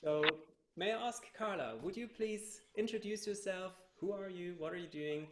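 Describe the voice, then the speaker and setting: Echoey German-accented man hosting, higher-pitched voice. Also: normal speed. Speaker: youth researcher hosting an online panel. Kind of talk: moderating a group video talk. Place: indoor room on a video call. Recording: echoey room on a video call.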